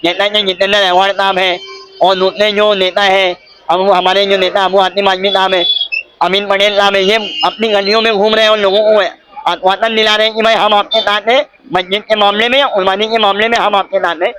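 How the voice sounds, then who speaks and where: A male local politician with a Mumbai accent, answering an interviewer. His voice sits high and has a forceful, bright edge, with a little noise in the background. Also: little pitch movement, a little fast. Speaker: advocate and local party office-bearer. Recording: faint background noise on location.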